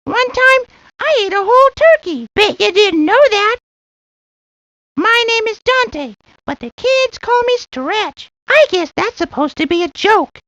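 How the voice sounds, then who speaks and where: A woman's voice: extremely high pitch, big pitch swings, measured pace, fairly bright tone, no room sound, heavily acted. A woman voicing an earnest, chatty, always-hungry little boy in scripted lines for a video game. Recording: dull, lo-fi 1990s game audio.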